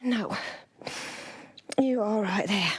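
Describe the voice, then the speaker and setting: An actress playing a menacing, mocking crime boss in a video game, drawling her taunts in a breathy voice. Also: mid-range voice, very slow, exaggerated voice. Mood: cruel, taunting. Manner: taunting, drawling, calls victims love and sweetheart. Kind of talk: dramatic video game cutscene acting.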